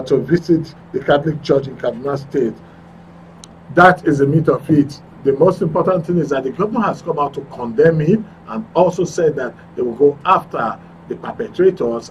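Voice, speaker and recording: A man's voice: mid-range voice, darkish tone. Nigerian guy hosting a current affairs show, bit far from the mic, faint noise behind. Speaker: radio and TV host.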